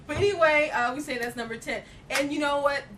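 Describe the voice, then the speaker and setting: High, bright young woman, distant mic. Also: fairly quick. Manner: animated, emphatic, giggly. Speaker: co-host of a geek culture show. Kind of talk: casual, opinionated chat. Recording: distant mic with hissy, rumbly noise.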